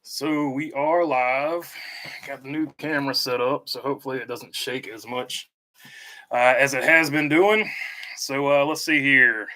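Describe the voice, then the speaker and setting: A man hosting a casual live stream, talking in a halting way with many fillers while reading out viewer comments. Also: mid-range voice, sharp tone. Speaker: livestream host.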